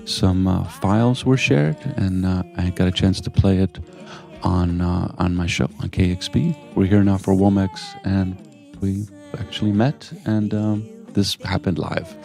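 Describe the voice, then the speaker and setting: Low gravelly male interview host. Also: steady tone, measured pace, mellow, faint music behind. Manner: enthusiastic, rambling storyteller. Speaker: radio show host. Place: venue in Lisbon with music behind.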